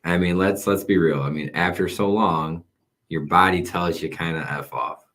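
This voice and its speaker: Brief: thirties male podcast host, very low voice, rambling, self-mocking chat. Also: steady tone, moderate pace, a bit bright. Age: 30s. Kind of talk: casual wrestling podcast chat.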